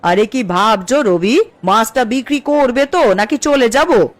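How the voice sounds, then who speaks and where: A woman's voice: a little high, measured pace, natural voice. A woman playing an assertive, sensible housewife in a Bengali cartoon, speaking firmly. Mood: firm, reasoning.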